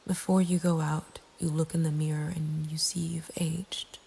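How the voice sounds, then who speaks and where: A woman narrating a scripted horror story in a breathy ASMR whisper, with a hushed, deliberate delivery. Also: low voice, level tone. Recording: faint ambient background noise. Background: soft nature ambience.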